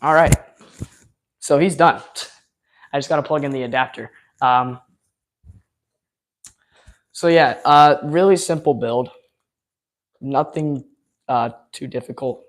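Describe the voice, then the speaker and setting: A man chatting loosely through a live unboxing, his voice going hoarse from hours of talk. He rambles along with plenty of ums and uhs in a mid-pitched, everyday tone. His enthusiasm carries through the fillers. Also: slow pace, pauses often. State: losing his voice. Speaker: live-stream host. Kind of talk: casual live unboxing chat.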